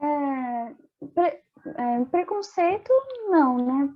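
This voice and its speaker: Young Brazilian woman guest, chatty, laughs a lot, high voice, tinny phone-like sound. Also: animated, slow. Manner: chatty, laughs often, many fillers. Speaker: interview guest, former exchange student. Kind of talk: casual interview chat.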